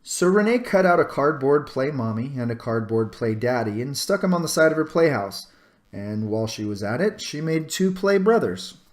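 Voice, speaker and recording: A man narrating a children's storybook aloud with dramatic pauses and stretched-out phrases. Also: medium pitch, sing-song. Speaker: storybook reader.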